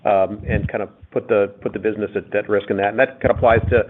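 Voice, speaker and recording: A man speaking as a company executive, giving unscripted, halting business answers with repeated words on a conference call. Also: lowish voice, fairly even intonation. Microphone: conference call line. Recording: phone-like narrow-band conference call audio.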